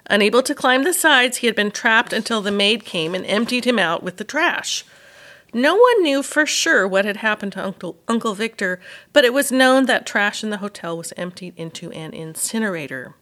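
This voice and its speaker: Female narrator, medium pitch, schoolteacher reading children's fiction in storytelling style. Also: expressive, moderate pace, a bit bright. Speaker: elementary school teacher reading aloud. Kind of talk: audiobook storytelling of a children's novel.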